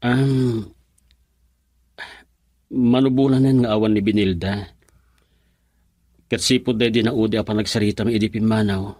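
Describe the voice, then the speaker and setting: An earnest young Filipino man in a radio drama, lovelorn and respectful. His voice sits low and is a little rough, in a dry recording. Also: fairly even intonation, a little slow, long pauses, a little mellow, dry recording. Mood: respectful, troubled. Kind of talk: Ilocano radio drama acting.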